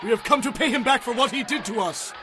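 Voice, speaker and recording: Casting: hostile ancient mob spokesman, extremely high raised voice, confrontational, clear ambient noise behind, scripture radio drama. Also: quick pace, crowd noise. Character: angry Philistine and Judean men, hostile mob spokesmen.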